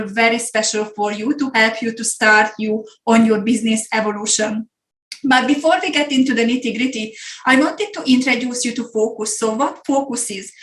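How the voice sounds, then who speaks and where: Woman with a Hungarian accent, middle-aged business coach, higher voice, earnest presenter, echoey room. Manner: earnest, repeats words for emphasis. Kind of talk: online masterclass presentation.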